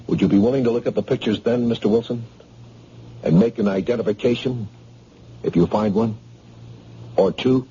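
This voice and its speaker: An actor playing a dogged police sergeant who questions a witness in a brisk, businesslike way, on a dull old radio recording. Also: male voice, fairly low pitch, warm tone, slight background noise, natural voice. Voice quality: husky.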